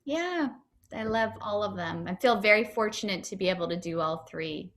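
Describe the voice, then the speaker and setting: A woman's voice: medium pitch, animated, moderate pace, a bit bright, a little hiss or hum. A woman comedian and actor answering interview questions over a video call, chatty and upbeat, with lots of fillers and restarts.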